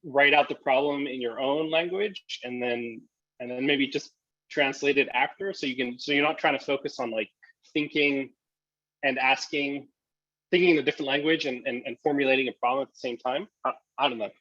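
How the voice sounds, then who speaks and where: A man's voice: mid-range voice, steady tone, a little fast, fairly bright tone, no echo, dull audio. A man presenting a casual tech talk, answering questions in a hesitant, thinking-aloud way over a video call. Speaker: presenter and software developer.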